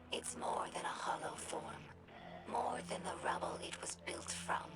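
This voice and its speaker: A woman playing an earnest young female alien scholar in a video game, with a breathy, whispery voice and measured, formal phrasing. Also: mid-range voice, rough recording. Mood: earnest, warm. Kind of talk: video game character dialogue. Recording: music behind the voice.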